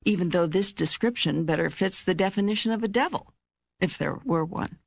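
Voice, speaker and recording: An older woman voicing God as a calm, wise, authoritative teacher in an audiobook, with measured, emphatic phrasing and a husky voice. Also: fairly low pitch, no echo, studio quality. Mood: firm, admonishing. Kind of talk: audiobook dialogue reading.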